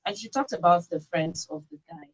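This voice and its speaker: A woman's voice: fairly low pitch, very animated, fairly quick, creaky, low-quality recording. A Nigerian woman hosting a live radio call-in show from a studio.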